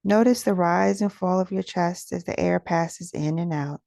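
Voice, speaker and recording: Mellow, low-voiced woman guiding meditation. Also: vocal fry, no room sound, clean studio sound. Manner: gentle, soothing, instructional, with soft pauses. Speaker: guided meditation teacher and host. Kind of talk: guided meditation narration.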